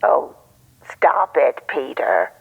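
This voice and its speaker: A woman playing a gentle, doting elderly aunt in an audio drama, tender and reassuring over a telephone. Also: extremely low pitch, big pitch swings, drawn-out words, vocal fry, husky, full character voice. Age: older. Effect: telephone filter. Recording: muffled telephone line.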